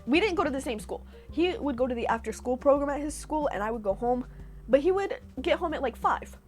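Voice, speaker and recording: A young woman from California telling stories in a chatty, animated way with sarcastic asides, reacting to her old posts. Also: sits high, a little fast, faint music behind. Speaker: vlogger. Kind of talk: casual reaction vlog storytelling.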